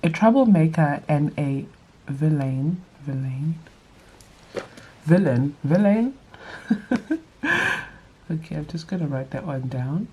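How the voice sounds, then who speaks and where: A young woman with a nasal, allergy-stuffed voice, reading scripture aloud and adding quick casual asides in a Bible study vlog. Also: low-pitched, expressive, husky, phone-quality sound. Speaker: vlogger leading a Bible study.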